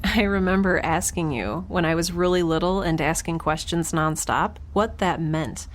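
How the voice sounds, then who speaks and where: Wry, wistful young woman stranded in space played by an actress, lowish voice, casual diary delivery, ambient noise behind her. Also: moderate pace, lightly acted. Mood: wistful, reflective. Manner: casual, conversational, sardonic. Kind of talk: audio drama, first-person recorded diary.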